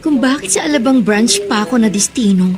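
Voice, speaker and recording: An actress with a Filipino accent playing an exasperated, excitable young office worker grumbling in a radio drama. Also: female voice, a little high, brisk, crowd noise, close to an everyday voice. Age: young adult. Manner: animated, grumbling. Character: exasperated, excitable young woman accountant, complaining commuter.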